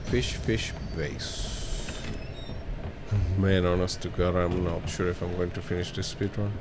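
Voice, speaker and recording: Male streamer, drunk, Spanish accent, lowish pitch, rambling and sweary, casual game commentary, loud background noise. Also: level tone, measured pace. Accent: Spanish-speaking. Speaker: streamer, speedrunner.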